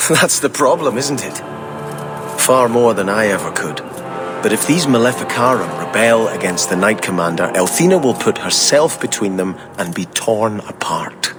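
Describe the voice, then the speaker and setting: A man's voice: fairly low pitch, lively intonation, normal speed, over music, natural voice. An actor playing an earnest, devout and protective nobleman in a video game, speaking with conviction. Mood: troubled. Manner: earnest, impassioned.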